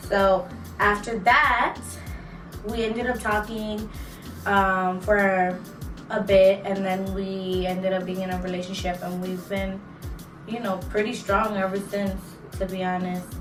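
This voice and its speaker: A woman's voice: mid-range voice, little pitch movement, takes it slowly. Chatty young woman, roomy distant sound. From New York and Florida, USA. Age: 20s. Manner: chatty, rambling with many fillers. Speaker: vlog co-host. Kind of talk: casual Q&A chat. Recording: roomy, distant mic, music underneath.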